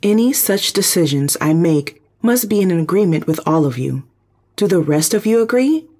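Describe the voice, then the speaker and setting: Sci-fi radio play: ship computer played by an actor, formal, measured, literal, husky edge. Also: mid-range voice, lively intonation, a little mellow. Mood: formal, calm. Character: calm, precise spaceship computer intelligence. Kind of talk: audio drama, analytical reporting.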